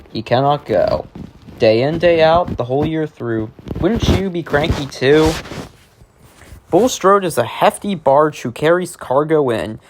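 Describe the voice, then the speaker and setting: A man's voice: a little high, noisy background. A man narrating children's rhymes as a read-aloud, reciting the verse in a sing-song way. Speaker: narrator, reader.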